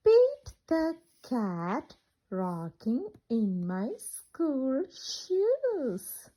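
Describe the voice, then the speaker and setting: A woman reading a picture book aloud to children in a singsong, interactive way, asking questions as she goes. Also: high-pitched. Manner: animated, singsong, asks questions and pauses for children. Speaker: children's storyteller.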